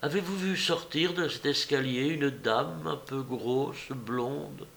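A man's voice: medium pitch, takes it slowly, gravelly, fairly bright tone, slight background noise. A man voicing a naive, shy, lovesick young man with a hesitant, stammering, deferential manner in an audiobook. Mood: earnest, timid. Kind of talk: audiobook character voice.

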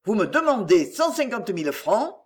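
A woman's voice: medium pitch, big pitch swings, normal speed, natural voice. A woman voicing an anguished middle-aged bourgeois father in an audiobook, formal and urgent in manner. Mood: cool, businesslike. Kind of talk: acted audiobook dialogue.

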